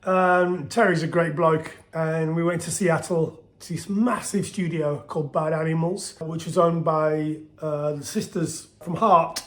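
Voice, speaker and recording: A middle-aged man talks casually in a soft, slightly high voice. He rambles warmly through a long story, restarting sentences and leaning on fillers as he goes. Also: fairly even intonation, measured pace, hardly any pauses. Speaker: band singer telling its history. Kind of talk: casual spoken storytelling to camera.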